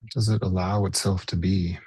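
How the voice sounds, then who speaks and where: A man with a soft, breathy, husky voice leads a guided body scan meditation as a teacher, gently and unhurriedly, pausing between short phrases. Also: low-pitched, little pitch movement, no echo.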